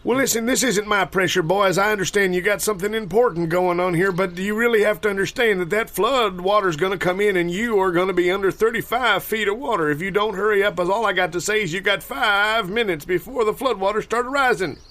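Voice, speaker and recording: A fussy, insistent character played by a man with a very high voice, nagging people about a coming flood. It is improvised audio comedy, delivered as a busybody's warning. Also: a little fast, hardly any pauses, a bit bright. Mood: urgent, nagging. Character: fussy high-voiced flood warner handing out swim floats.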